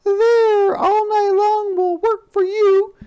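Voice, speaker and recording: Woman as shrill, singsong old storybook magician. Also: flat delivery, takes it slowly, dark tone, highs cut off. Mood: ominous chanting. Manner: singsong rhymed chanting. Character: chanting band of weird old male magicians, eerily gleeful.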